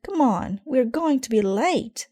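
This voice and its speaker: Woman putting on an impatient little girl bear, high-pitched. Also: expressive, slow pace, dry recording, heavily acted. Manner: shouting, impatient. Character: eager, impatient young girl bear cub.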